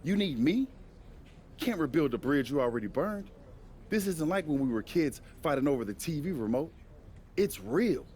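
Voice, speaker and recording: A man's voice: a little high, sing-song, moderate pace, a little mellow, slight background noise. A man playing a guarded older-brother wrestler, speaking blunt American slang in a video game cutscene. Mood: irritated. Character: gruff, guarded big-brother wrestler with a grudge.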